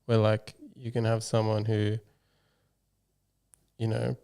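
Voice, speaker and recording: A man hosts a casual interview podcast in a low, dark and smooth voice with a bit of gravel. He speaks in a steady, flat tone and muses in a laid-back way, full of "like" and small hedges. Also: slow. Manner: laid-back, reflective, lots of "like" and hedging. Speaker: podcast host.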